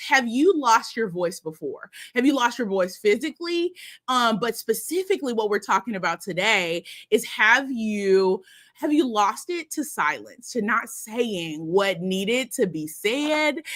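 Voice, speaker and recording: A woman hosts a live show, chatting straight to her viewers. Her voice is bright and quite high. It swoops up and down freely as she talks. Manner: chatty and animated, addresses viewers as y'all and honey. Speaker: host of a live stream show. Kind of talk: casual live-stream talk to viewers.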